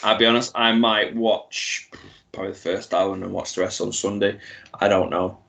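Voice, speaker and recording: A man's voice: on the low side, fairly even intonation, moderate pace, creaky, a bit bright. A man co-hosting a casual wrestling chat, talking eagerly and often stumbling over his words. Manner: stammering restarts, enthusiastic. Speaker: podcast co-host.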